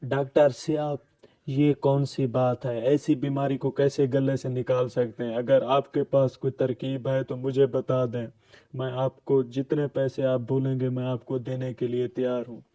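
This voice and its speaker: A man's voice: medium pitch, little pitch movement, measured pace, warm tone, lightly acted. A man playing a pleading, exasperated husband and father in a cartoon. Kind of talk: cartoon dialogue.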